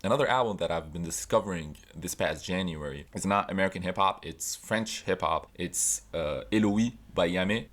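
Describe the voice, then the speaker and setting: Low-voiced Tunisian man chatting about music. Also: a bit bright. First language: Arabic. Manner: chatty, loose, self-interrupting with fillers. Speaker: music vlogger. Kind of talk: casual music recommendations to camera.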